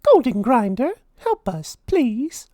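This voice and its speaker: A kind, humble maid from a children's storybook, played by a male reader in falsetto. The voice sits very high, far above his own. She sounds sweet, polite and earnest. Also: wildly swooping pitch, slow, warm tone, no room sound, clean studio sound, heavily acted. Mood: reassuring. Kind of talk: children's storybook read-aloud.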